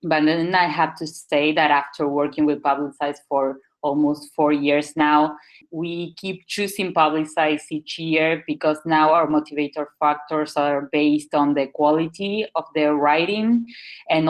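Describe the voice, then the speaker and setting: A woman's voice: sits low, steady tone, normal speed, poor audio. A woman, a marketing manager answering interview questions thoughtfully, with many fillers and restarts.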